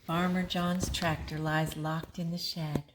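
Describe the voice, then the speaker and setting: A woman reading a rhyming picture book aloud to children, expressively, pausing for a child to join in. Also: deep voice, background noise. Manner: expressive, prompting a child to fill in rhymes. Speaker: story reader and co-host.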